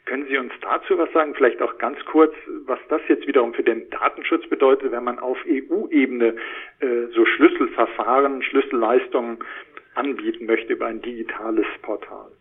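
Husky male moderator, phone-like sound. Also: medium pitch, fairly even intonation, moderate pace. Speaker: interviewer, moderator. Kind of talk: formal, polite interview moderation.

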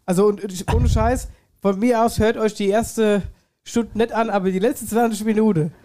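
A podcast co-host talks casually through a headset, his nose a little stuffy from a cold. His voice sits high but sounds dark and mellow. He rambles and laughs easily. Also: fairly even intonation, normal speed. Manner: chatty, laughs often, rambling restarts. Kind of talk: casual chat. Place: home studio, seated in armchair.

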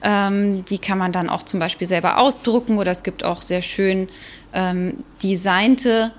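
A woman with a mid-pitched voice gives explanations as an expert guest on a podcast. She talks in a measured manner, pausing now and then to find the next word. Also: steady tone. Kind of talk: explanatory podcast interview talk.

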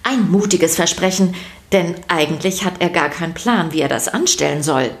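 Low-voiced woman telling stories for children. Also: moderate pace. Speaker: podcast host and story narrator. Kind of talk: scripted narration for a children's podcast.

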